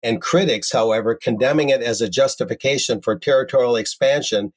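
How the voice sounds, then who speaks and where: A man's voice: mid-range voice, fairly quick. A man presenting news commentary, reading articles aloud and adding wry, conversational asides of his own.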